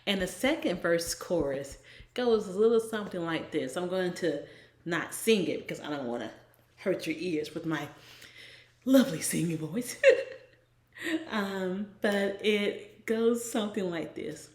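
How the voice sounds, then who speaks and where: A woman with a fairly low voice speaks earnestly and at a measured pace, like a devotional blogger encouraging her viewers. Her phrases often fade into a soft, breathy hush. The recording is echoey and sounds like a bare room. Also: lively intonation. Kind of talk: inspirational talk to camera.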